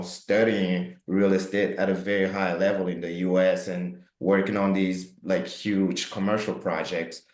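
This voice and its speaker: A man's voice: low voice, darkish tone, echoey room. A man from Cameroon, co-founder of a housing company, answering interview questions with many fillers and restarts.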